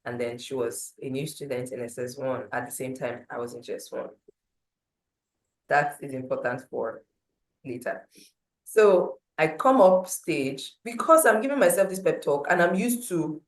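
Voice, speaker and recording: A woman tells her story in a low, loud voice, her pitch and volume swinging as she talks. The sound carries a roomy echo. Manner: animated storytelling with big swings in pitch and loudness. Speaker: motivational speaker. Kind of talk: storytelling talk at a breakfast meeting.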